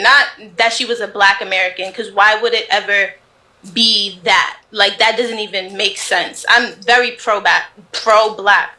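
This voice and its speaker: A woman from the Caribbean speaks with a bright, forward voice at a middle pitch. She explains herself casually, like a guest on a talk show. She is emphatic, repeating words to press her point.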